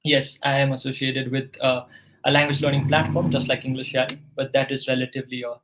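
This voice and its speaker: A man's voice: medium pitch, little pitch movement, a little fast, fairly bright tone, slight background noise, poor audio. A man working as an English tutor, patiently giving corrective grammar feedback in a one-on-one session.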